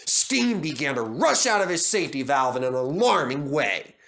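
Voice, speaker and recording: A man's voice: fairly high pitch, sing-song, moderate pace, a bit bright. A man reading scripted narration for a children's story as the storyteller.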